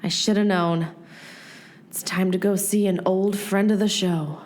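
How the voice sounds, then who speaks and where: A woman's voice: mid-range voice, steady tone, measured pace, darkish tone, natural voice. A woman playing a bossy, self-assured game master turned sleuth in a hammy comic radio play. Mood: confident, commanding. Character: bossy, confident young female game master and sidekick sleuth. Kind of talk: comedic radio play acting. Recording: noisy recording with clicks.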